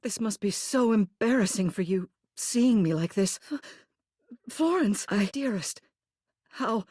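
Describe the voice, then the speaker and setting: A woman's voice: medium pitch, expressive, unhurried, darkish tone, no echo, studio quality. A woman playing a haughty, formal mayor who is secretly tender, in scripted video game dialogue. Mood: flustered. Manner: prim, formal, officious, clipped commands.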